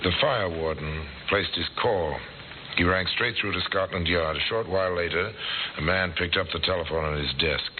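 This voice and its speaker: Very deep-voiced male actor as portentous crime narrator, terse and brooding, on tinny vintage radio with loud music behind. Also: normal speed, a little hiss or hum, natural voice. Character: brooding storyteller of grim crime tales. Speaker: narrator and host. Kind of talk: old-time radio dramatic narration. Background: dramatic music.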